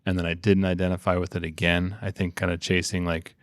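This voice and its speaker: A man's voice: low-pitched, completely flat delivery, brisk, dry recording, studio quality. A man in his thirties from coastal Alaska, telling his story casually and informally. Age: 30s. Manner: informal, frequent "like" and "you know".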